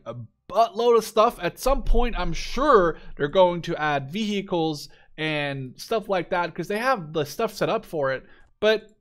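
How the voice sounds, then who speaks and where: A man in his twenties with a fairly high-pitched voice talks over a video game. He rambles casually as the host of a let's play, circling back over his own phrases and swearing offhandedly. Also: a bit bright. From Netherlands. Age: 20s.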